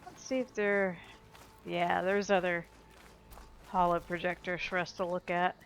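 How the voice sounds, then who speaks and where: A woman's voice: lowish voice, flat delivery, a little slow, a little hiss or hum. A woman giving casual, chatty commentary as the host of a video game playthrough. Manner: informal, chatty. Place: voice-over on game audio.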